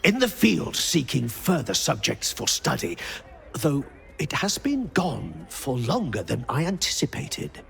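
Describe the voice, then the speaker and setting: Brief: courteous tentacled scholar for a game; slow, gravelly, warmly curious. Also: male voice, medium pitch, wildly swooping pitch, heavily acted. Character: courteous mind flayer scholar, kindly and curious. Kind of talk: video game voice acting.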